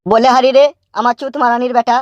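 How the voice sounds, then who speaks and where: A man's voice: very high voice, little pitch movement, quick pace, highs cut off, a little hiss or hum. An actor voicing an anxious, scolding old cartoon father with a rural West Bengal Bengali accent, nagging and repeating words. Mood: exasperated scolding. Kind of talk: comic cartoon dubbing.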